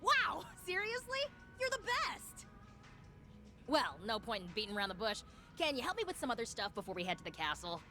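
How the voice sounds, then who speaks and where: A woman's voice: mid-range voice, racing, soft background music. An actress voicing a cheeky, upbeat, streetwise young woman fixer in a video game, chatty and slangy. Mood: excited, grateful. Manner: slangy, breezy, expressive. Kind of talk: English video game voice acting.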